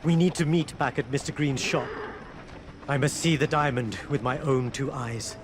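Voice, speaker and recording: A man playing a proud, dignified Indian maharaja in a video game, speaking formally and courteously with measured wry poise. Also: medium pitch, noisy background, close to an everyday voice. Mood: earnest, formal. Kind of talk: video game cutscene acting.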